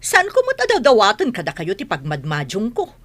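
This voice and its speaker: A woman's voice: mid-range voice, huge pitch swings, brisk. A woman playing a defiant grown daughter in a radio drama, arguing defensively with an Ilocano accent. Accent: Ilocano Filipino. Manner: defensive, argumentative. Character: defiant grown daughter fond of mahjong gambling.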